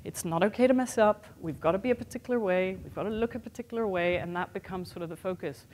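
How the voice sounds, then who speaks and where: A woman's voice: medium pitch, fast, a little mellow, a little hiss or hum. A woman in her thirties with a mixed international accent, giving a candid, conversational keynote talk to an audience in a hotel conference room. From born in the United States, raised in Europe and Asia. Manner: conversational and candid, with many "sort of" and "you know" fillers. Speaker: keynote speaker.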